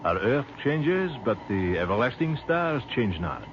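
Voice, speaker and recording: A courteous French army captain in an old radio play, with a French accent and gently philosophical, formal phrasing. His low voice rises and falls widely, full of wistful sentiment. The vintage recording is dull, with clear noise over it. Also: big pitch swings, measured pace. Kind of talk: old-time radio drama acting.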